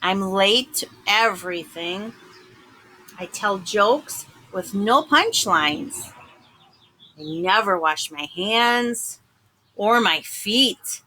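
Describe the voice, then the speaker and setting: A small storybook sunflower seed confesses to being bad, as a woman reader acts it out. The delivery is slow, confiding and dramatic, with big swings of pitch and a bright edge, glum yet earnest. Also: full character voice, poor audio. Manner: dramatic, confiding, drawn-out emphasis. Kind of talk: picture book narration in first person.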